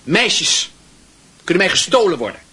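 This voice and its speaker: An actor playing a cocky, evasive teenage schoolboy in a radio play, flippant and theatrical in delivery. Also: male voice, high-pitched, very animated, moderate pace, sharp tone, slightly rough, heavily acted. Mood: teasing. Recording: noisy radio play recording.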